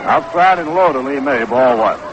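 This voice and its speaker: American baseball announcer on noisy old radio. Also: male voice, mid-range voice, animated, moderate pace. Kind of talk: 1960s radio sports commentary. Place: stadium broadcast booth. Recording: dull, noisy 1960s AM radio broadcast.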